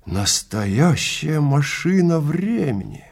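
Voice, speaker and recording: Excitable nervous male client, acted by a man. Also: fairly high pitch, takes it slowly, vocal fry, breathy edge, voice pushed up, exaggerated voice. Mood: awed, relieved. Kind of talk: dramatized audiobook dialogue.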